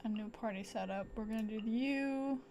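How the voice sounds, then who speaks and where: Casual female game streamer, slow, fragmentary thinking-aloud, gravelly fry, faint noise behind. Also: fairly high pitch, mellow. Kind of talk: casual live gameplay commentary.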